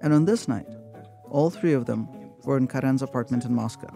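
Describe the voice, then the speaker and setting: A narrator from Russia telling a story in a measured, matter-of-fact way. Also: male voice, mid-range voice, creaky, warm tone, soft background music. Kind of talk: scripted radio narration.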